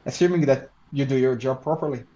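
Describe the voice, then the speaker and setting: A man whose first language is Hebrew, a law researcher and lecturer answering interview questions in a measured, halting way with frequent restarts. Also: medium pitch, warm tone, lo-fi sound. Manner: measured, halting, with many restarts and "you know".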